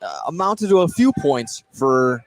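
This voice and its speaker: A man giving casual, conversational color commentary at a live basketball game, with halting phrasing. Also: mid-range voice, sing-song. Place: indoor high school basketball gym, courtside. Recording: live gym broadcast, crowd noise behind. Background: clear crowd noise.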